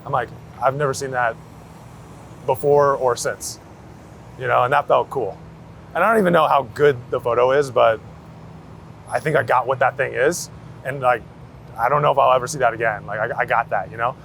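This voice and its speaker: Gravelly-voiced man chatting on the street. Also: medium pitch, normal speed, long pauses, a bit bright. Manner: slangy, anecdotal, hedging with "like" and "you know". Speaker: street photographer being interviewed. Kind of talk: casual chat. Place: outdoors on a busy city street. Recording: city street noise, traffic around.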